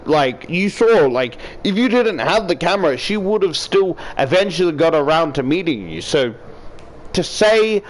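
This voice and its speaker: A man's voice: fairly high pitch, low-quality recording. A man reading a visual novel aloud and adding joking, sweary commentary. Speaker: video creator reading a game aloud.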